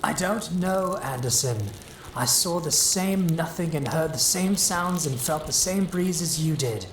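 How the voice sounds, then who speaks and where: A man playing a world-weary, decadent grave robber with a dry, sardonic, drawn-out delivery in a gothic horror audio drama. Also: on the high side, roomy sound, background noise, lightly acted. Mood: exasperated, sardonic.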